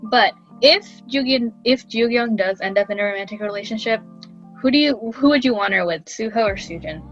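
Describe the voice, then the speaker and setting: A woman's voice: on the high side, fairly quick, soft background music. A young woman co-hosting a podcast in an informal, conversational style, recorded on slightly lo-fi audio. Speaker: podcast co-host. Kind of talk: casual podcast chat.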